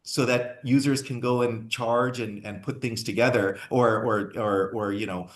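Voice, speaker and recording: A man's voice: medium pitch, fairly even intonation, a little slow, reverberant. A man from India who speaks with a Californian accent, answering as a panelist in a rambling way with many restarts. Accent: Californian English. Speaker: panelist, company founder.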